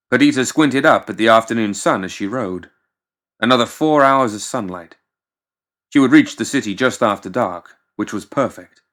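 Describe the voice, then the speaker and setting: A man with a fairly low voice narrates a story for an audiobook. His delivery is measured and dramatic, shaped as scripted fiction storytelling. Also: fairly bright tone.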